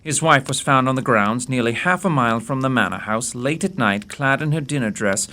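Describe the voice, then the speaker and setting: A self-assured gentleman detective, played by a man in a radio play. He is urbane and analytical, laying out his reasoning in precise, formal phrases at a middling pitch. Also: a little fast.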